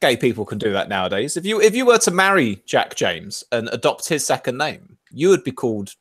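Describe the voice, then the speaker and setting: A man co-hosting a casual podcast chat, who talks in an animated, joking way. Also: mid-range voice, a little fast, husky. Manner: animated, jokey, slips into silly voices. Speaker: podcast co-host.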